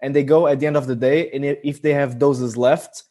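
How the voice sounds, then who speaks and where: A man's voice: medium pitch, fairly even intonation, fairly quick, warm tone. A young man co-hosting a podcast over a video call, chatty and easygoing as he tells a travel story. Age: young adult. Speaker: podcast co-host. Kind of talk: casual chat.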